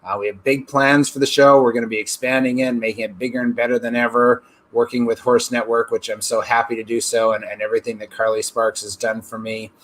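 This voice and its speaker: A man presents a show with a medium-pitched voice. He talks effusively as a host, leaning on superlatives as he introduces and questions. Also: little pitch movement, brisk, hardly any pauses, fairly bright tone, slight background noise. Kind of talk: hosting an online interview show.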